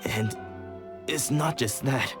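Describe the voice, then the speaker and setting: A man's voice: mid-range voice, slow, natural voice. A man playing a hard-up, put-upon samurai soldier, weary and defensive, in a video game. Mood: troubled, defensive. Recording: music under the voice.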